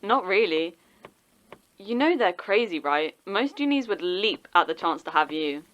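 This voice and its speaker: A woman acting a caring but wounded friend, a schoolteacher, in an audio drama, gently persuasive and wry. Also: lowish voice, sing-song, a little slow, muffled recording. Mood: supportive, wry.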